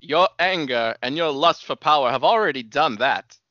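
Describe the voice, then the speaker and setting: A righteous old-school warrior master, voiced by a woman, stern and full of grief. The delivery is solemn and theatrical, swinging widely in pitch with a forceful edge, recorded very dry. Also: animated, normal speed, no echo, dull audio. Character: stern, grieving male warrior mentor, righteous.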